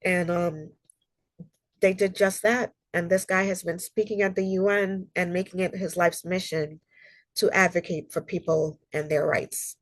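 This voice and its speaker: A woman's voice: fairly low pitch, fairly even intonation, unhurried, no echo, rough recording. Nasal-sounding woman presenting online, recovering from a cold. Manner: earnest, conversational, with frequent ums and personal stories. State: getting over a cold, slightly nasal. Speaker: human rights consultant and presenter. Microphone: video call.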